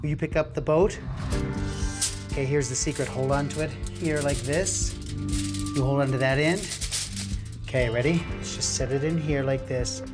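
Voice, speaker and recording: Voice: medium pitch, steady tone, normal speed, pauses often. A science show host giving a live demonstration, coaxing a helper along with enthusiasm and frequent questions. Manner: enthusiastic, coaxing, asks many rhetorical questions. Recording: music behind the voice.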